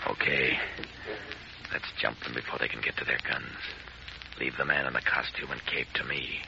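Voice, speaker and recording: An actor playing a commanding, decisive superhero in 1940s radio style, with a deep gravelly voice and terse orders. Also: male voice, little pitch movement, moderate pace. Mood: urgent, commanding. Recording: muffled, noisy 1940s radio recording.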